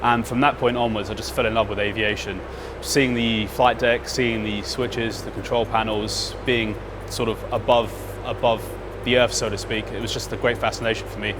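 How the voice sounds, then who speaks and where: Low-voiced man in his thirties, noisy backdrop. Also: little pitch movement. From United Kingdom. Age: 30s. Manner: measured, frequent ums. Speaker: pilot trainee. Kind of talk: interview testimonial.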